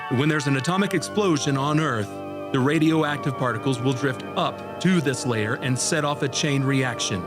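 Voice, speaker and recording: An actor in a radio drama playing a desperate test pilot, urgent, pleading and halting as he warns of doom. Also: mid-range voice, music in the background, natural voice. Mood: dazed, solemn.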